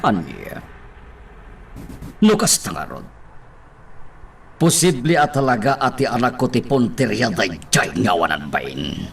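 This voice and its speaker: A man's voice: on the low side, very animated, measured pace, vocal fry, long pauses, slight background noise, full character voice. An actor with an Ilocano accent playing a protective father and crime boss in a radio drama. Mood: stern, brooding.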